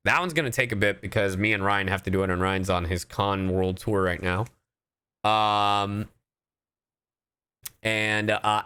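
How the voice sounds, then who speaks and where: A man livestreaming to his viewers, chatting in an expressive, theatrical, sweary way. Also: fairly low pitch, clean tone. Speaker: streamer, voice actor and cover singer. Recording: music behind. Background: music.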